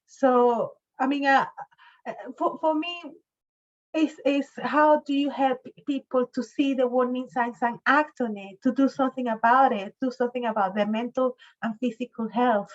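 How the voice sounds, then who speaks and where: A woman in her fifties hosting a conversational interview, halting and often repeating her words. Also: high-pitched, steady tone, muffled recording. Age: 50s. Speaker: host, interviewer.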